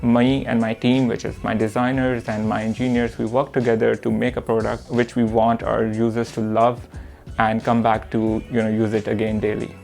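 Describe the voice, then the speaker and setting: A young man from Pakistan talks about his career in an earnest, measured way, often pausing on "uh" to find his words. His voice is mid-pitched with a gravelly edge, and soft music plays underneath. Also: monotone. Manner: earnest, measured, with frequent "uh" pauses. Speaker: product manager and course instructor. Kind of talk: reflective self-introduction to camera.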